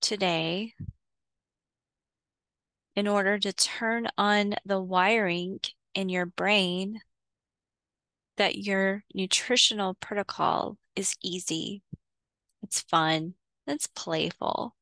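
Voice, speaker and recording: A woman guides a visualization at a slow, unhurried pace. Her voice is smooth and clear, at a medium pitch. She gives soothing instructions in short phrases with pauses between them. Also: steady tone, fairly bright tone, dry recording, low-quality recording. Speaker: guided meditation host. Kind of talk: guided visualization, meditation narration.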